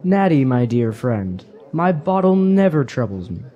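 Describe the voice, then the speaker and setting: A man's voice: mid-range voice, very animated, measured pace, dull audio. A man playing a carefree young hedonist in a fantasy radio drama, wry and flowery in his phrasing. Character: carefree young hedonist drinker, teasing and philosophical.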